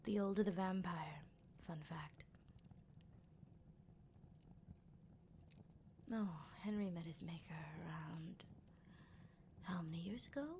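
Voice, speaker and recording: A woman playing a teasing, sardonic female vampire captor in an ASMR roleplay, speaking in an unhurried, breathy half-whisper. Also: fairly low pitch, mellow, a little hiss or hum, natural voice. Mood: musing, sardonic. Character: playful, menacing female vampire captor, decades old.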